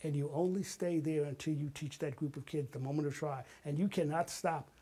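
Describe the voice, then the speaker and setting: A man's voice: a little high, fairly even intonation, fairly quick, mellow, slightly rough. An older man, an interview guest, telling personal stories in a dramatic, emphatic way and acting out quotes. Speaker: interview guest, retired artist and playwright. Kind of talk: animated personal storytelling.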